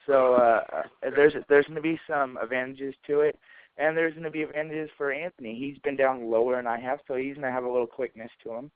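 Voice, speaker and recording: A man, a professional fighter, giving an informal, halting interview answer over a phone conference line. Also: medium pitch, fairly even intonation, clear, resonant tone, no echo, phone-quality sound.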